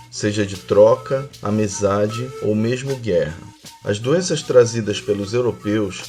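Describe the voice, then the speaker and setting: A Brazilian man reading scripted educational narration in a measured, didactic manner. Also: medium pitch, a little mellow.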